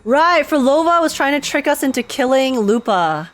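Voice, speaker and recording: A young woman streamer giving casual, slangy live reaction commentary on a game, expressive and emotionally invested. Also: high voice, moderate pace. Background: game music.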